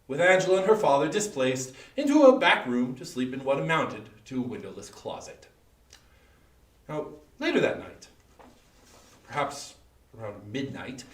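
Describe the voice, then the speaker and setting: A man telling a horror folktale dramatically, deliberate and suspenseful, with long pauses. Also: mid-range voice. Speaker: storyteller. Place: indoor room, live online performance. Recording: echoey room, distant microphone.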